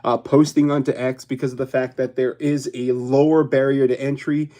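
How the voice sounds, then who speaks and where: A man's voice: medium pitch, little pitch movement, normal speed, mellow. Chatty man rambling on a livestream. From Hawaii. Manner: rambling, chatty, laughs often. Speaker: YouTube livestream host. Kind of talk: casual livestream chat with viewers.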